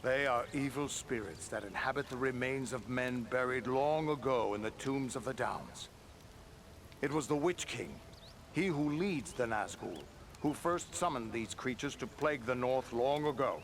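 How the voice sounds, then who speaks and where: A man playing a grave, dutiful ranger captain in a fantasy video game, speaking in formal, measured, archaic phrases. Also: mid-range voice, animated, slight background noise, lightly acted. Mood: grave, urgent. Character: grave, dutiful male ranger captain, concerned for his men.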